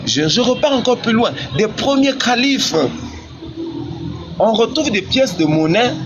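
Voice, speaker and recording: A man's voice: a little high, a bit bright. A man debating religion heatedly, speaking in an insistent, repetitive, argumentative way over a live online connection. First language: not Arabic. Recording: muffled lo-fi call, background noise.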